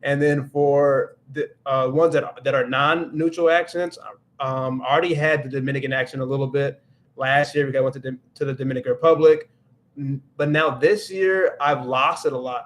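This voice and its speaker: Rambling young man on a livestream. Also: medium pitch. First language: English. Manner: rambling, self-correcting, many restarts and fillers. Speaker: livestream host answering viewer questions. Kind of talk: casual livestream chat.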